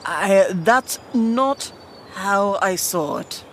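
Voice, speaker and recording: Woman playing a slow-spoken, stately queen mother. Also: medium pitch, animated, fairly bright tone, slight background noise, exaggerated voice. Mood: stern, dignified. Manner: formal, measured, regal. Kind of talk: scripted fantasy audio drama acting.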